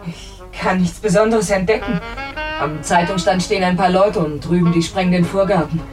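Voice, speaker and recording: An actress playing a strained, loyal young grown daughter in a 1960s radio drama, exasperated and wistful. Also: female voice, fairly low pitch, moderate pace, music in the background, lightly acted. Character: strained, loyal grown daughter, defensive about her ex-fiance.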